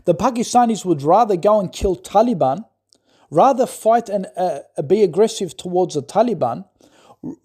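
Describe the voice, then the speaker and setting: A man's voice: high-pitched, animated, moderate pace, warm tone, husky. A man in an impassioned, scolding monologue who keeps asking "right?". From Australia. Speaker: commentator.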